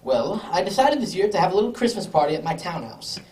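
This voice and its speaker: A woman's voice: on the low side, very animated, fast, a little mellow, distant mic. A woman playing a polite, well-off lord with a formal, slightly hesitant manner in a radio drama. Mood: earnest, worried. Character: well-off, courteous English lord, earnest client. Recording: echoey room, off the microphone.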